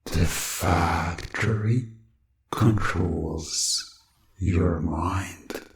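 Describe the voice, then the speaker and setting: Man voicing a slow, echoing robotic factory machine. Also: low voice, background noise, voice pushed down. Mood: blank, obedient. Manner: clipped, mechanical announcements in short phrases. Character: cold robotic male factory programming voice, commanding, emotionless. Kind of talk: hypnosis audio roleplay. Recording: echoing voice over music.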